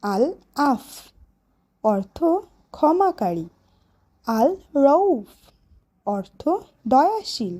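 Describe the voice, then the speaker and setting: A woman voicing a schoolteacher in an educational cartoon, speaking deliberately and instructively. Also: a little high, big pitch swings, warm tone, heavily acted. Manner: deliberate, clearly instructive delivery. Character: female schoolteacher leading a children's class. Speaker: teacher. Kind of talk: educational cartoon lesson, recitation and questioning.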